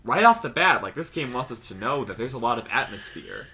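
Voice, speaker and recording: A man doing joking let's-play commentary in a teasing, mock-dramatic, animated way. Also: mid-range voice, brisk, creaky. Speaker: video game commentator.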